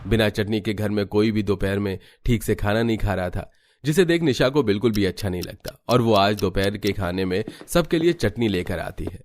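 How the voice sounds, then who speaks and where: A man reading scripted narration for a Hindi animated story as its narrator. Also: on the low side, moderate pace.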